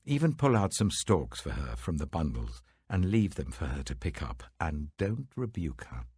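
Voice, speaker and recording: A British man voicing a kindly, dignified older landowner in an audiobook scripture story, gentle, authoritative, protective and generous. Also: extremely low pitch, sing-song, normal speed, slightly rough, no room sound, studio quality, heavily acted.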